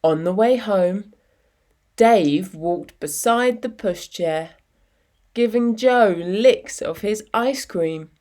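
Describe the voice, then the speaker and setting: Very slow-paced woman reading a children's story. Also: mid-range voice. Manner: expressive, deliberate storytelling with dramatic pauses. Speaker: storytime reader. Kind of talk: children's picture book read-aloud.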